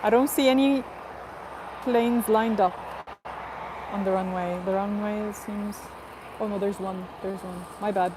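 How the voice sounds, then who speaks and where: A woman's voice: mid-range voice, steady tone, unhurried, long pauses. Chatty woman streaming outdoors. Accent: non-native English, neither Portuguese nor Spanish. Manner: chatty, upbeat, laughs often. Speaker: livestream host. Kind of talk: casual live chat with viewers. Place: outdoors near an airport. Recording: outdoor live stream with background noise. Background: traffic and ambient noise.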